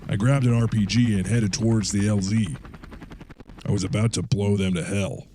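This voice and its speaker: A man playing a blunt, gruff war veteran with a grudge in an audio drama. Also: lowish voice, fairly even intonation, moderate pace, dark tone, close to an everyday voice. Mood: bitter, intense. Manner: blunt, swearing war storyteller. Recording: battle sound effects, faint noise.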